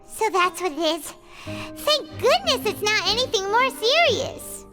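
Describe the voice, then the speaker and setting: Excitable little fairy sidekick: extremely high, squeaky, animated game acting over music. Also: female voice, takes it slowly, exaggerated voice. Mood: worried. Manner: squeaky, earnest, refers to self in third person. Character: tiny, excitable childlike female fairy companion. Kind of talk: English game dub acting.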